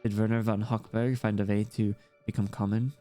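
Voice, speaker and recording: A man reading the lines of a curious adult companion in a story game, measured and questioning. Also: fairly low pitch, little pitch movement, mellow, lightly acted. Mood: curious, thoughtful. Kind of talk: reading visual-novel dialogue aloud. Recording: music playing behind the voice.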